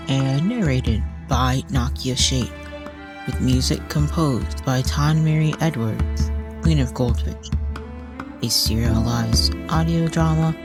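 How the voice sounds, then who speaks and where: A woman narrating a scripted audio drama with deliberate, measured storytelling. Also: a bass voice. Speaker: author and narrator.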